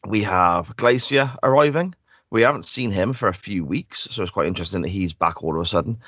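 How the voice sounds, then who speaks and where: Croaky, bunged-up male podcast host. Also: deep voice, expressive, brisk, a bit bright, dry recording. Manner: chatty, laughs often. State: croaky, congested. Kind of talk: casual chat.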